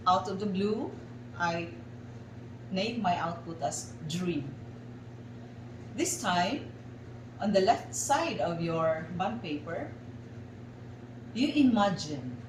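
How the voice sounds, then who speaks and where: Female workshop facilitator, Filipino accent, extremely unhurried and deliberate, echoey room with noticeable noise. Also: medium pitch. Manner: deliberate, speaking in short separated phrases with pauses. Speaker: facilitator leading a guided art exercise. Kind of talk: slow guided instruction for a radio lesson.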